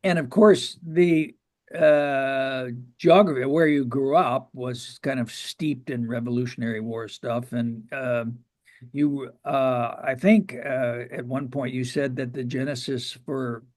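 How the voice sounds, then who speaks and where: A man's voice: mid-range voice, fairly even intonation, slow pace, a little mellow. A man hosting an interview show, talking conversationally with a wry, jokey manner.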